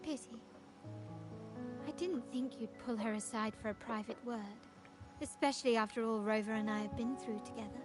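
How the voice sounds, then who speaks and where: Actress as young crime-family heiress, video game. Highish voice, composed, shrewd, formal; music underneath. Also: female voice, fairly even intonation, normal speed, close to an everyday voice.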